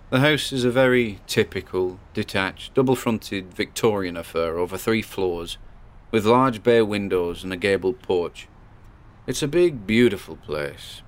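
Actor doing a british guy ghost hunter, lowish voice, wary but chatty, dramatic pauses, audio drama podcast style. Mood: chatty, wry. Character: wary, chatty male paranormal investigator recording his exploration. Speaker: paranormal investigator.

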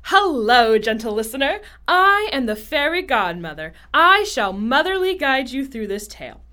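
A woman's voice: sits high, sing-song, normal speed, bright, forceful tone, heavily acted. A woman playing a prim, motherly fairy godmother who narrates a scripted storybook tale with wry touches. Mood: genteel, welcoming.

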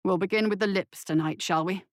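A woman playing a calm, sinister male surgeon in an audiobook, polite and soothing, with a measured, softly commanding manner. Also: lowish voice, dry recording, studio quality, natural voice. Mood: clinical.

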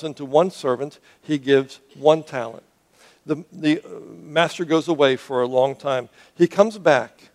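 Male pastor, grew up in Cuba, earnest sermon delivery, slightly high voice, asks the room questions. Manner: earnest, repeats and restarts words, asks the audience questions.

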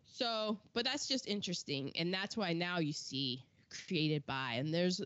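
A woman's voice: on the low side, expressive, fairly bright tone, dry recording, muffled recording. Chatty woman podcaster, dry sound. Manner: chatty, with false starts and quick asides. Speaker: podcast co-host. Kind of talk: casual chat reading film credits.

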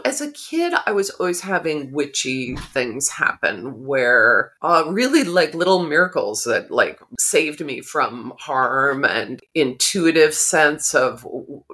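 A middle-aged woman guest, an author and drama therapist, telling stories in a casual interview in a chatty, animated way and often laughing mid-sentence. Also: low-pitched.

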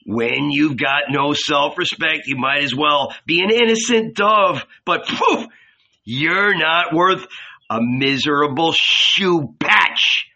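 Guy doing a loud coarse storybook innkeeper, scornful swagger, raised pitch, forceful bright voice. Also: slow, gravelly, full character voice. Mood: furious, scornful. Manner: bellowing, cursing, scornful.

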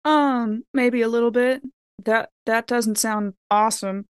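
A woman's voice: fairly high pitch, steady tone, unhurried, clear, resonant tone, no echo, clean studio sound. A woman playing a tabletop game, talking casually and a little tentatively in character. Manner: informal, tentative.

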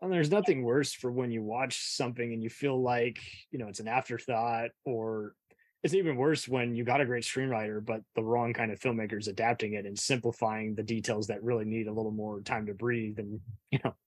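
A man hosts a casual film podcast. His voice is medium in pitch and recorded dry, with little room sound. He talks conversationally. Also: fairly even intonation, a little fast, no echo. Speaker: podcast host.

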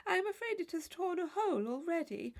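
A woman's voice: very high-pitched, sing-song, fairly quick, pitched above the performer's own voice, full character voice. A woman voicing a fussy, chatty married lady in a storybook reading, gushing and rambling about small matters. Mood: fretful. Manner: gushing, rambling, easily impressed. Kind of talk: audiobook character dialogue.

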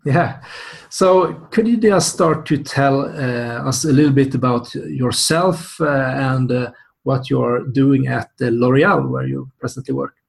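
Gravelly-voiced male interview host. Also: mid-range voice, measured pace. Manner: hesitant questioning with many uhs. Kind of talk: interview questions.

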